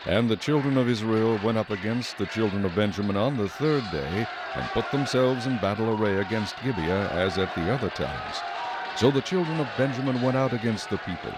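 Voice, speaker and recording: A man giving solemn, measured scripted narration for a dramatized Bible recording. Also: on the low side, heavy background noise.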